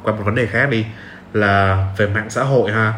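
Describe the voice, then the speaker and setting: A man's voice: fairly low pitch, steady tone, moderate pace, a bit bright. A man with a southern Vietnamese accent hosting a casual podcast interview, asking questions and giving short agreeing replies. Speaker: podcast host. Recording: roomy sound with faint noise.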